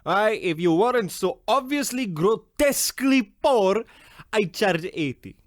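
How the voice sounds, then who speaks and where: A man's voice: sits high, lively intonation, a little slow, no echo, clean studio sound. A man playing a haughty, blunt and grandiose shoemaker in a radio comedy, talking in a theatrical, pushy salesman's manner. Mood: pompous, pushy. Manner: pompous, theatrical, swooping delivery. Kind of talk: old-time radio comedy acting.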